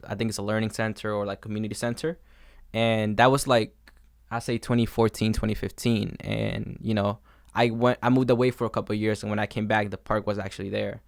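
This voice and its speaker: The guy hosting a small homemade podcast, lowish, smooth voice, wandering through his questions. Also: dry recording, studio quality. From has lived in a New York suburb. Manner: informal, rambling questions full of "like". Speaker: interviewer. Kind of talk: casual interview.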